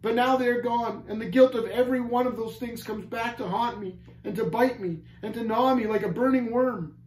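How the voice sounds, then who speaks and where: A man's voice: very high-pitched, normal speed, darkish tone, voice pushed up. A man voicing a despairing, guilt-tormented prisoner in an audiobook story, moaning in anguish. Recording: roomy echo, faint background noise.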